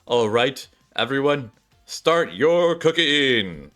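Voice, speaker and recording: A commanding contest judge in a video game, voiced by a man reading along. The delivery is formal and announcing, with a bright, forceful edge. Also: mid-range voice, animated, slow, faint music behind.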